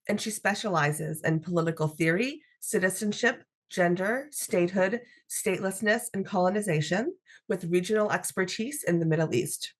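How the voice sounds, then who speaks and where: A woman hosting a podcast, reading a guest's introduction in a measured, formal way. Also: medium pitch. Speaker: podcast host.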